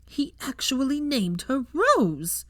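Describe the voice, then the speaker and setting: A woman's voice: high voice, big pitch swings, slow pace, fairly bright tone, no room sound, studio quality, pitched above the performer's own voice, heavily acted. A woman voicing a proud, guarded woman scholar in a story reading, cool and pointed in manner. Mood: tense anger.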